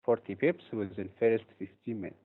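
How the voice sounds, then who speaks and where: A man from Egypt, a trading instructor, presents a webinar in a steady, explanatory way with occasional hesitations. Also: fairly low pitch, moderate pace. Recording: tinny phone-like audio.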